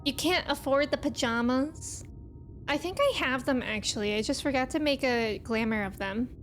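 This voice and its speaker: High-voiced woman livestreaming a game. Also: moderate pace, a little hiss or hum. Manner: playful, thanking and greeting viewers. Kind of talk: casual livestream chat, reacting to a game.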